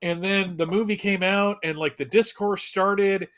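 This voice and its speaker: A man's voice: high voice, fairly even intonation, a little slow, fairly bright tone. A man chatting casually as a fan on a live show, informal and emphatic, rambling a little as he goes.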